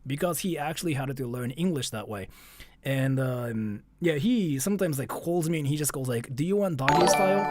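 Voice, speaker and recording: A man's voice: on the high side, a little mellow. A man from Japan with a Japanese accent, chatting casually and jokingly as a livestream host, informal and rambling.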